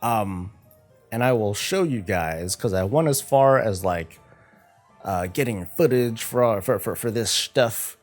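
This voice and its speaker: A man chatting casually on a gaming livestream, halting and often stumbling over repeated words. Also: lowish voice, expressive, faint music behind. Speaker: streamer, host of a morning show. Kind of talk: casual livestream chat about games.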